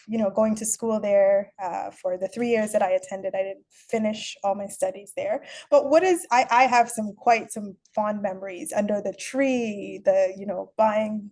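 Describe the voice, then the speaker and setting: A woman's voice: medium pitch, darkish tone. A woman from Guyana hosting a chatty, upbeat interview and laughing often. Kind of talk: casual recorded interview.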